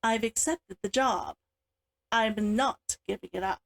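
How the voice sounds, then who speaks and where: A woman's voice: medium pitch, unhurried, vocal fry, a bit bright. A woman reading the part of a stubborn, defiant younger sister in a storybook, cool and firm.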